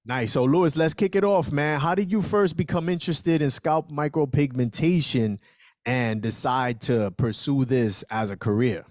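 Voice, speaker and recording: A man's voice: medium pitch, moderate pace, darkish tone, dry recording, clean studio sound. A man hosting a podcast, greeting and questioning his guest with enthusiastic, casual energy. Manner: enthusiastic, repeats "outstanding". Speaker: podcast host.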